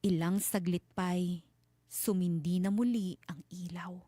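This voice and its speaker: A woman storyteller giving a dramatic scripted horror narration with suspenseful, deliberate phrasing. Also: lowish voice, fairly even intonation, dark tone, no echo.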